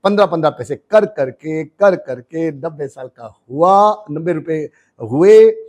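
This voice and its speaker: A man, a business coach telling his life story in an interview, switching between Hindi and English with emphatic, animated delivery. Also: fairly high pitch, measured pace. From India. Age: 60s. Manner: animated, emphatic, mixes languages.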